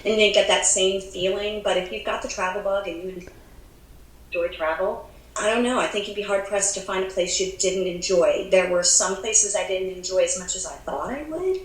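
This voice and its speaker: A woman's voice: medium pitch, normal speed. Chatty American woman in an echoey room. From United States. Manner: chatty, laughs often, restarts her sentences. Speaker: travel advisor, podcast guest. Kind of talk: casual podcast chat. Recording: echoey room with faint background noise.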